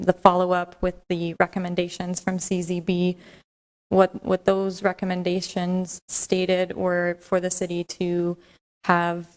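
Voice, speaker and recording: A soft-spoken woman on city staff, giving a measured, explanatory presentation at a council meeting. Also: on the low side. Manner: measured, explanatory, with fillers and restarts.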